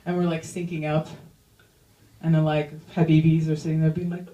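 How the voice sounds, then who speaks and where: A woman's voice: sits low, flat delivery, brisk, dark tone, echoey room, distant mic, muffled recording. A young woman doing a stand-up comedy set in a chatty, self-mocking way, full of "like" asides. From Iranian-American. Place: comedy club stage.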